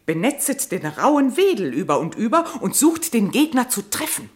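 A woman's voice: on the high side, very animated, normal speed. An actress playing a caring, fussy older female ape who gives coaxing, conspiratorial advice in a radio play. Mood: scheming.

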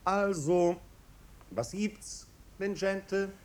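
An actor playing a snobbish, amused aristocrat with a languid, ironic manner in a 1950s German radio drama. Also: male voice, drawn-out words, darkish tone. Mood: amused, impatient. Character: snobbish, amused aristocratic marquis, blasé and mocking. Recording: old radio recording, rumbling background noise.

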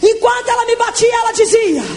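A woman in her twenties preaching her testimony in a fervent, shouting evangelical sermon, stretching words for emphasis. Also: very high-pitched, fairly quick, creaky, a little hiss or hum. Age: 20s.

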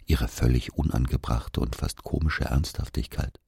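A man playing a brooding figure who recalls his murdered brother in a measured, solemn literary monologue. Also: a bass voice, steady tone, gravelly, darkish tone, breathy edge, full character voice. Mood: somber.

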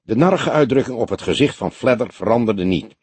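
A man reads story narration for an audiobook. His voice is husky, with lively movement in pitch, and the recording is dry and free of room sound. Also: fairly quick, dry recording.

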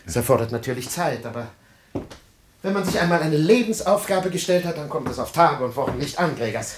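An actor playing a self-important, excitable father with grand plans in a German radio drama, theatrical and pompous in manner. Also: on the high side, brisk, roomy sound, background noise, close to an everyday voice. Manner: pompous, theatrical, swinging from exclaiming to confiding.

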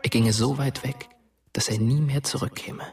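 An actor playing a bleak, bitter narrator in scripted German radio drama. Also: male voice, mid-range voice, fairly even intonation, moderate pace, creaky, darkish tone, lightly acted. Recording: distant from the microphone.

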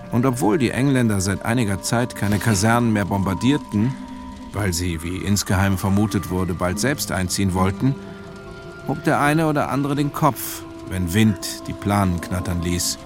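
Ein Schauspieler als sachlicher Erzähler einer literarischen Kriegsgeschichte im Hörspiel, gemessen und nüchtern vorgetragen. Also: male voice, fairly low pitch. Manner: measured, sober. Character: sober male narrator of a war story. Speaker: narrator. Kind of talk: literary scripted narration. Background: music.

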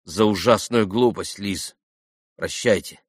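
A man voicing an earnest, gentle young man, sincere and self-reproaching, in an audiobook novel. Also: fairly low pitch, takes it slowly, husky, dry recording, clean studio sound. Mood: grave, hurried. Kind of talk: audiobook classic novel dialogue.

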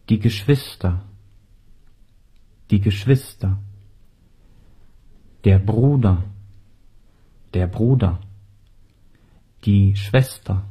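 Man, language drill reader, very slow, mellow, slightly husky, careful model pronunciation. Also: on the low side. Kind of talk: scripted radio lesson drills.

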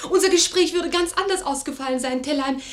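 A woman's voice: high-pitched, a little fast, lightly acted. An actress playing a teasing, determined young noblewoman in love, with refined theatrical delivery in the style of 1950s radio drama. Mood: insistent. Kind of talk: 1950s German radio play acting.

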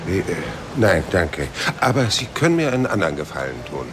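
An actor playing a cynical, world-weary male private detective in a radio crime drama, rough-voiced, dry and laconic. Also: low voice, big pitch swings, fairly quick, crowd noise. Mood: dry, wary. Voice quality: husky, rough. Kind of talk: radio crime drama with first-person narration.

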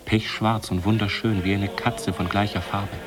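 An actor playing an earnest, impressionable young novice monk in a German radio drama, speaking with intense, incantatory delivery. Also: male voice, lowish voice, flat delivery, moderate pace, music in the background, close to an everyday voice. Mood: feverish visionary. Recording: clearly noisy ambience behind voice.

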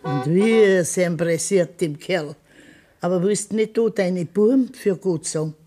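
A woman's voice: fairly low pitch, a little slow, dark tone. An older-sounding actress with a Bavarian accent playing an outspoken, scolding farm grandmother in a radio drama. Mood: worried, reproachful. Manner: scolding, questioning. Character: outspoken old widowed farm grandmother, indignant. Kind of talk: German dialect radio drama acting.

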